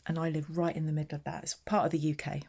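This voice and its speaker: Woman, low voice, mellow tone, chatty, talks through her card making. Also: little pitch movement, fast, gravelly. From southeast England. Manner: chatty, narrating her own hands-on work. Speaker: papercraft demonstrator. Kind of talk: casual craft tutorial chat.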